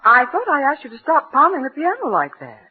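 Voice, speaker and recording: A woman's voice: high-pitched, huge pitch swings, fairly quick. A woman playing a strict, anxious housewife and stepmother in an old-time radio drama. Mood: scolding, restrained. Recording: tinny old radio recording, faint music.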